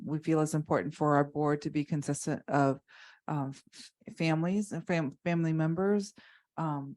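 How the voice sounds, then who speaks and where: A woman on a conference panel speaking about how her foundation's board raises funds, in a halting way with many restarts. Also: low voice, darkish tone. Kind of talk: unscripted panel discussion.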